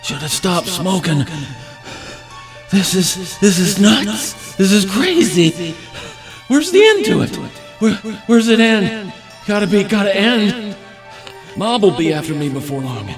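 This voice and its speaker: Over prominent music, a young stick-up man from an audio thriller. His voice sits a bit high and he takes his time, talking tough and muttering to himself. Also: big pitch swings, slow pace, heavily acted. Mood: breathless panic. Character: cocky young male casino robber, turning panicky. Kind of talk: audio drama acting. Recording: dramatic music behind.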